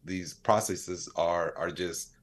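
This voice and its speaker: Low-voiced man chatting casually. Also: fairly even intonation, takes it slowly. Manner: rambling, slangy, frequent "you know what I'm saying". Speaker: podcast guest, AI expert and comedian. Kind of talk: casual conversational interview.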